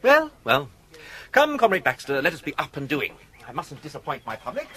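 An actor playing an urbane, unflappable young English gentleman in a radio comedy, speaking in a florid, mock-formal manner. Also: a little high, wildly swooping pitch, a little fast, exaggerated voice. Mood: brisk.

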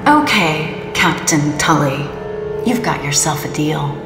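Cold bossy special agent, dry and sarcastic, echoey cave sound, music under it. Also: female voice, sits low, expressive, slow, full character voice. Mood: guarded. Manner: curt, dry, commanding. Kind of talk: audio drama acting. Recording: echoey reverberant cave effect.

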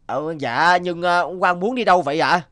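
A man's voice: high voice, normal speed, pushed and bright, no echo, clean studio sound. An actor playing an anxious, deferential male attendant to a magistrate in a cartoon.

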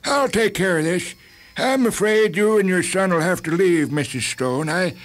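A man playing a polite, uneasy hospital doctor with a mild, halting manner in a dramatised story. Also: sits high, lightly acted. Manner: halting, mild, full of hesitations.

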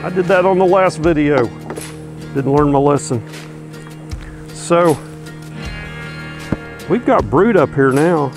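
A man's voice: on the high side, lively intonation, takes it slowly, long pauses. Easygoing man in a bee yard. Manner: easygoing, folksy, thinking aloud with fillers. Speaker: beekeeper vlogger. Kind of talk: casual narration while working. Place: outdoors in a wooded bee yard. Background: music and buzzing bees.

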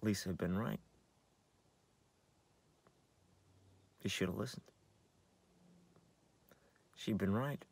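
A man performing a dramatic monologue as a grieving, tender, subdued mourner with a soft, gravelly voice. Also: on the low side, a little hiss or hum. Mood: grieving, regretful. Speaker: actor in a staged reading. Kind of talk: dramatic third-person monologue.

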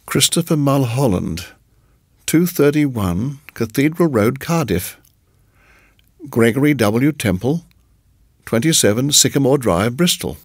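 A man's voice: medium pitch, lively intonation, unhurried, long pauses, breathy edge, no room sound, clean studio sound. A man voicing a polite young male lodger in an audiobook, musing and earnest, a little hesitant. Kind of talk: audiobook character voice.

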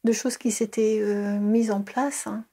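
A woman's voice: medium pitch, little pitch movement, measured pace. A middle-aged woman recalling her school years in a casual interview, hesitant, with many fillers and restarts. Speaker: interviewee, early childhood educator.

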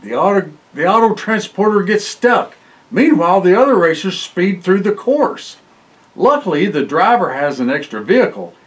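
An older man reading a children's picture book aloud in an expressive way, with exclamations and short asides. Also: high-pitched, moderate pace. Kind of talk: storybook read-aloud for children. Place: indoor library room, seated by bookshelves.

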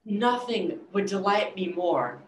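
A woman's voice: medium pitch, lively intonation, takes it slowly. An awkward, smitten young man, acted by a woman in a staged reading. The delivery hesitates and stammers, over a roomy video call with some background noise. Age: young adult. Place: indoor room, video call.

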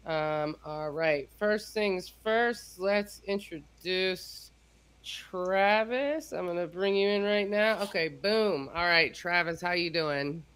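Female host, middle-aged. Bright, punchy voice, informal and effusive, chatting on a live video call. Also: lowish voice, takes it slowly, clean tone. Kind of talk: casual hosting chat. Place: indoor room, video call.

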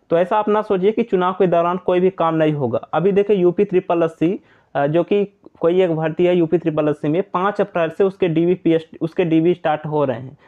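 A high-pitched man explains updates informally to his viewers. He repeats his points in a reassuring way. The audio is narrow and tinny, like a phone line. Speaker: exam news presenter.

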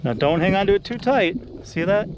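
A middle-aged man filming outdoors on a lake with an action camera, excitedly coaching his young son through landing a fish. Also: high voice, brisk, noisy background. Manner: encouraging, excited, coaching a child. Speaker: vlogger. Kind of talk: casual coaching commentary.

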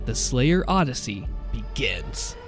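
A man hosting a gaming video, reading and commenting in a deliberate, theatrical narrator style. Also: medium pitch, big pitch swings, music in the background.

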